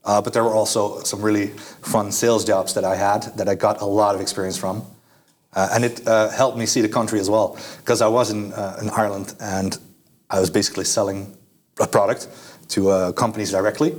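A young man whose first language is Dutch, talking with a somewhat low voice. He answers casually, with lots of filler words and sentences he starts over. He sounds easygoing and unhurried. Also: little pitch movement. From Netherlands. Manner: hesitant, many fillers and restarts. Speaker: guest.

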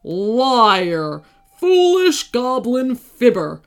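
A huge, bitter dragon guarding against thieves, as a woman storyteller puts it on. The speech is snapping and emphatic, drawn out very slowly with big swings in pitch. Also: very animated, full character voice. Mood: furious. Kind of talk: children's storybook character voice.

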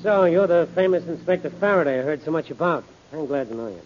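An actor playing a cocky, menacing gangster in a 1940s radio drama, talking tough, smug and slangy. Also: male voice, fairly high pitch, lively intonation, fast talker, music in the background, close to an everyday voice. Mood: falsely friendly. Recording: muffled old radio recording.

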